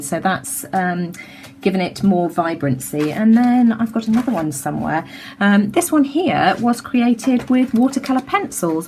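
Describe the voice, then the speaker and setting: A middle-aged British woman with a slightly croaky voice, casually talking through a craft demonstration with lots of ums and restarts. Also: medium pitch, slight background noise. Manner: chatty, many ums and restarts. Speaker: craft tutorial host. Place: home craft table.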